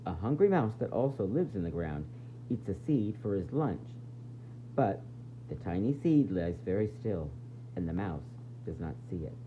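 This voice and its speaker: A man reading a picture book aloud as a preschool teacher, expressive and explaining things directly to young children. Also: low-pitched, moderate pace. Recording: tinny phone-like audio with faint noise.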